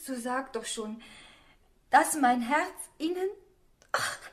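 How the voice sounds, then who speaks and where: A woman's voice: sits high, animated, takes it slowly. A woman playing a willful, agitated young daughter in love in a German radio drama. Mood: faltering, earnest. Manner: agitated, pleading.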